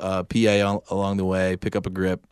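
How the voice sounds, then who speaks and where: A man's voice: deep voice, monotone, fairly quick, darkish tone, clean tone, no echo. Easygoing guy on a podcast just chatting and telling stories, really dry close sound. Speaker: podcast co-host; filmmaker. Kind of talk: casual podcast chat.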